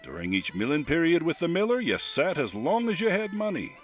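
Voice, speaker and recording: An older man with a rich, admired voice, reciting a poem as a guest reader in an expressive, lilting way. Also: on the high side, a little fast. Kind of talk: dramatic poetry reading. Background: faint bagpipe and whistle music.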